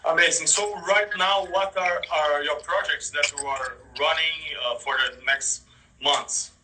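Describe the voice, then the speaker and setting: A man's voice: a little high, steady tone, a little slow, far from the mic, noisy background. A man hosting a livestream interview, introducing and questioning his guest over a call, recorded away from the mic. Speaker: interview host.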